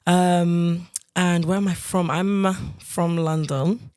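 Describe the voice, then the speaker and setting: A woman's voice: fairly low pitch, steady tone, slow pace, dark tone, clear, resonant tone. A young woman, raised in Ireland, chatting casually as a podcast guest, with many fillers and frequent laughter. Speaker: guest, singer-songwriter.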